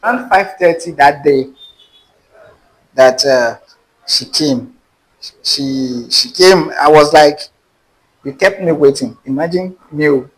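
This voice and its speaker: A man's voice: a little high, takes it slowly, pauses often, slightly rough, rough recording. A man with a Nigerian accent, a guest animatedly telling a personal dating story and acting out the dialogue. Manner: animated, acts out quoted dialogue. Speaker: interview guest. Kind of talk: casual storytelling.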